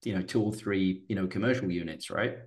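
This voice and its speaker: A man's voice: deep voice, a little fast. A man hosting a casual interview and asking questions in a chatty way, with lots of "you know"s. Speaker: podcast host.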